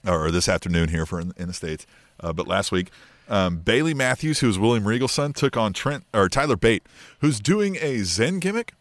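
A man's voice: lowish voice, sing-song, normal speed, no echo. A man co-hosting a wrestling podcast, rambling and speculating with lots of fillers. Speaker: podcast co-host. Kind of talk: casual wrestling chat.

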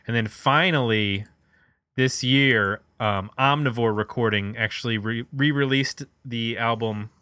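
A man's voice: mid-range voice, unhurried, bright, forceful tone, no room sound. A man hosting a podcast, casually discussing music in a conversational, opinionated way. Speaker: podcast host.

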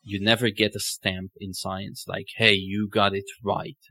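Deep voiced guy hosting a thoughtful podcast, pretty flat delivery, searches for words, dry sound. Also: unhurried, no echo. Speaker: podcast host. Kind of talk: reflective conversational interview.

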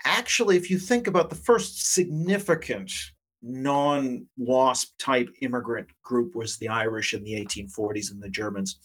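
A man's voice: a little high, breathy edge. A man speaking as an academic interview guest, explaining his points with many qualifiers. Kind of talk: interview discussion.

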